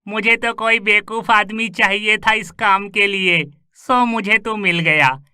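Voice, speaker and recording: An actor as greedy male cartoon con man, sneering, very sharp and clear, unhurried, dry recording. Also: mid-range voice, fairly even intonation, no echo. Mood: gloating, menacing. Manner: gloating, sneering, drawn-out delivery. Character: greedy scheming male swindler, gloating and ruthless. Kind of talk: cartoon story voice acting.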